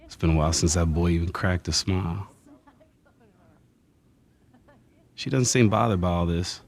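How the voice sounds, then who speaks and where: A man playing a young American survivor in a video game, a protective older brother with a gravelly voice and streetwise manner. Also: low-pitched, quick pace. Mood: guarded. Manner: streetwise, swearing, assertive. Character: wary, friendly young survivor protecting his little brother. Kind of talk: naturalistic video game acting.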